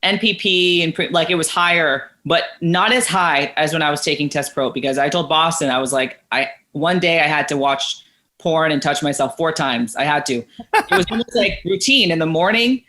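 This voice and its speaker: A woman in her thirties with a naturally deeper voice, speaking as a guest over a video call in a chatty, rambling way. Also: normal speed, sharp tone. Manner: chatty, rambling, lots of likes and restarts. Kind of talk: candid casual interview. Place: living room at home.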